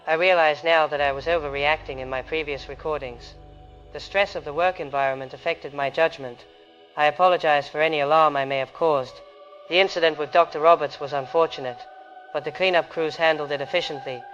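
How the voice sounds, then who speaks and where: An actor playing an eerily serene researcher, recording a calm, measured, detached diary entry for an audio drama. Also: male voice, fairly high pitch, soft background music, natural voice. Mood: detached, reassuring. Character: eerily serene male researcher, secretly changed, logging recordings. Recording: dull lo-fi log recording.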